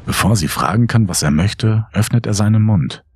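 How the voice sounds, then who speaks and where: A man reading a horror story as scripted dramatic audiobook narration, grave, measured and brooding. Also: lowish voice, lively intonation, husky, dry recording, clean studio sound. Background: faint music.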